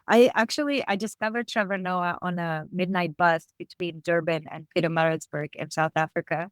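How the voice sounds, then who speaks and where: A woman from Israel interviewing a podcast host, speaking in a thoughtful, measured way. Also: lowish voice, steady tone, clear, resonant tone, no room sound. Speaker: guest interviewer. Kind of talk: conversational interview.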